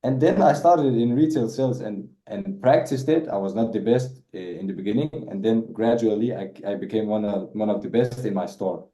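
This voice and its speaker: Deep, dark-voiced man. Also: normal speed, few pauses, reverberant, rough recording. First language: Danish. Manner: repeats words, says "bro" often. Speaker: guest, sales closer. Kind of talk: casual interview answers.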